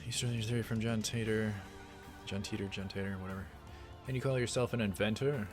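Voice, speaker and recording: A brash young man acted by a male game player, full of suspicion and accusation. He is theatrical, with a fairly low voice, over faint visual novel music. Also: fairly even intonation, a little fast, close to an everyday voice. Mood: indignant. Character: brash, paranoid young man, a self-styled crusader.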